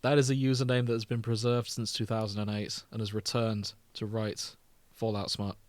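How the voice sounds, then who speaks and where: A man's voice: on the low side, flat delivery, normal speed, no room sound. Casual man podcaster with a dry, close sound. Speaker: podcast co-host. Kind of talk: casual chat.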